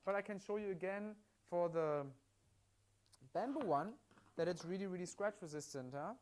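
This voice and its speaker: A man's voice: high-pitched, normal speed, a little hiss or hum. A man from Germany with a German accent, presenting products live in a chatty, step-by-step way. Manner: chatty, upbeat, explains step by step with frequent "yeah?" tags. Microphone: mic worn at his side.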